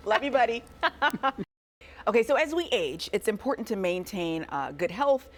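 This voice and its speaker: A woman co-hosting a morning TV show, moving between informal, animated chat and presenting. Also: medium pitch, unhurried. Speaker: TV studio host. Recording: clearly noisy live broadcast sound.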